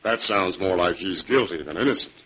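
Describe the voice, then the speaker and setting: An actor playing a bluff, businesslike mill boss in old-time radio style, hearty and raspy. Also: male voice, deep voice, brisk, pushed and bright, a little hiss or hum. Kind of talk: 1940s radio acting. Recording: dull old radio recording.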